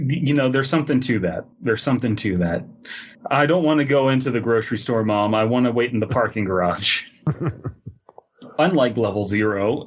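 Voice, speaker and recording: A man co-hosting a podcast in casual comedic chat, riffing in a rambling, animated, profane way. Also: mid-range voice, slightly rough. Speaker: podcast co-host. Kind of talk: casual comedic chat with read-aloud passages.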